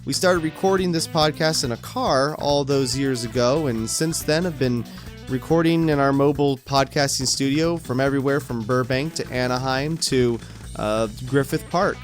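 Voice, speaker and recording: A man with a middle-pitched voice speaks over clear plucked guitar music. He gives a casual, friendly host's introduction. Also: normal speed. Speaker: podcast host.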